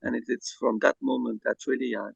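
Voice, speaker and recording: A Belgian-born man whose first language is Dutch, a guest speaking softly and hesitantly with many fillers over a video call. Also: medium pitch, steady tone, brisk, vocal fry, husky, no room sound, lo-fi sound.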